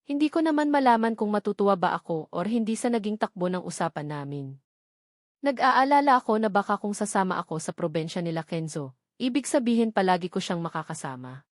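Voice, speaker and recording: A woman's voice: medium pitch, expressive, brisk, dry recording, clean studio sound. A woman with a Filipino accent reading a scripted first-person romance novel as an audiobook narrator.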